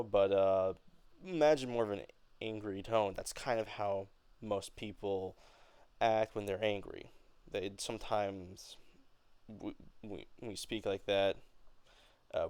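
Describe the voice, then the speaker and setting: Hesitant young man, smooth clear voice. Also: lowish voice, darkish tone, no echo. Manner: hesitant, halting, rephrases often. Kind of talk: informal explanation of an acting reading.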